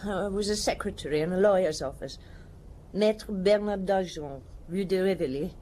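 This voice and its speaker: An actress playing a bitter, hard-drinking wife in an audio drama, languid, sardonic and evasive. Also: female voice, mid-range voice, moderate pace, mellow, a little hiss or hum, close to an everyday voice. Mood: wistful, evasive. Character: bitter, indifferent hard-drinking wife of missing rich husband.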